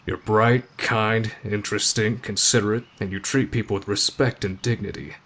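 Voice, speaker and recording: A man acting in an ASMR roleplay as a gruff, aloof teenage delinquent, deadpan and terse but protective of a friend. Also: lowish voice, moderate pace. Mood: firm, annoyed.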